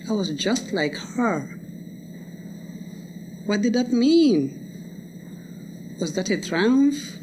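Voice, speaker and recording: A young adult man reciting a poem at a live reading. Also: sits high. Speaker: guest poetry reader. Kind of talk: slow poetry recitation. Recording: noisy live hall recording.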